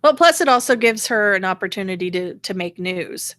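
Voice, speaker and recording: Podcast co-host: American woman, mid-pitched, opinionated and irreverent, conversational, dry recording. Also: lively intonation, fairly quick, a bit bright, dry recording. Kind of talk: casual political chat.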